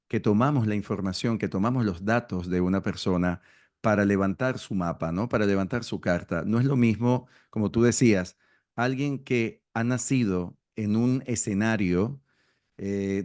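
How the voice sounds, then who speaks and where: A man's voice: on the low side, darkish tone. Hesitant Venezuelan-born man explaining. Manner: hesitant, repeats words while thinking. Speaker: astrology school host. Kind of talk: conversational explanation.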